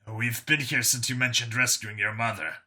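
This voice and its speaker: A man voicing a polite, matter-of-fact alien satyr commando with a calm, understated manner in an audiobook story. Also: medium pitch, moderate pace, husky.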